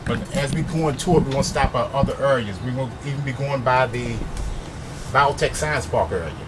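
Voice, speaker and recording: A man, guiding a group on a bus tour in a folksy, emphatic way, tossing in "okay?" and "y'all", with a soft voice. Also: medium pitch, a little fast. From East Baltimore, USA. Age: 50s. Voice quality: soft voice, by his own account. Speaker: community activist and tour guide. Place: inside a moving school bus, windows down. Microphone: small handheld camera, with a little mic. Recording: loud traffic and bus noise.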